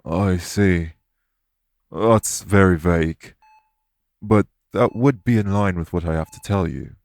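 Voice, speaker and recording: A man voicing a calm, courteous winged elf in an audio roleplay, speaking formally and patiently to reassure the listener. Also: low-pitched, takes it slowly. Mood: measured, explanatory.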